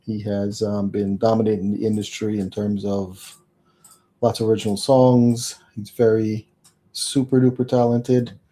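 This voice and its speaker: A man's voice: fairly low pitch, level tone, measured pace, dark tone. A man hosting a live talk show, making announcements and welcoming a guest in an upbeat way with frequent fillers. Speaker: show host.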